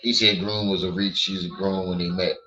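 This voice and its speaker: Hoarse, blunt man livestreaming. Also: low-pitched, little pitch movement, fairly quick, sharp tone, dull audio. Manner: blunt, laughs often, greets chat viewers. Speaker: livestream host. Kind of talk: casual livestream commentary.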